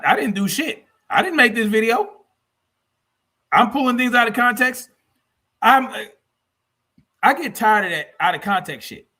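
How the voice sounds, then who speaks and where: Animated, blunt man on a livestream. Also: sits high. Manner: blunt, profane, repeats phrases for emphasis. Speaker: livestream host. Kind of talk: combative livestream commentary reacting to clips and chat.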